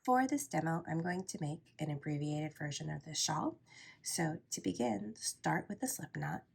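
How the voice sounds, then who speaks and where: A woman with a head cold, sounding congested, calmly talking through a crochet tutorial step by step. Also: deep voice, normal speed, creaky, slightly rough. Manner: calm, steady instructional pacing with soft asides. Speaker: crochet teacher.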